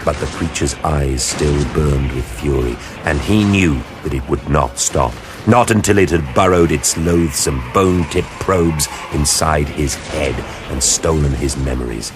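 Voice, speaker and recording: A man performing dramatic scripted narration of a science fiction war story, grave, theatrical and deliberate in his delivery. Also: a bass voice. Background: dramatic music with battle sound effects.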